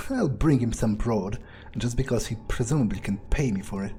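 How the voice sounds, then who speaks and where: A man playing a game in character, thinking aloud in a halting, musing way with casual swearing. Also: mid-range voice, mellow, slight background noise. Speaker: let's player speaking in character. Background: game music.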